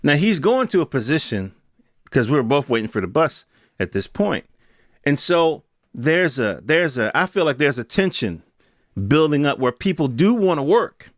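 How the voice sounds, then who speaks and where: A man's voice: fairly high pitch, very animated, normal speed, dry recording. American man in his fifties, dry close sound. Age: 50s. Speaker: podcast host. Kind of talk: opinionated solo monologue, casual rant.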